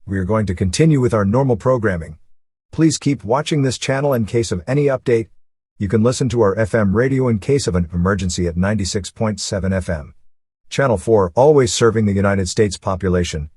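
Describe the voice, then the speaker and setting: Low-voiced man reading a formal emergency alert. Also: fairly quick, a little mellow, husky, dry recording, clean studio sound. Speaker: emergency broadcast announcer. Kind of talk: scripted emergency alert announcement.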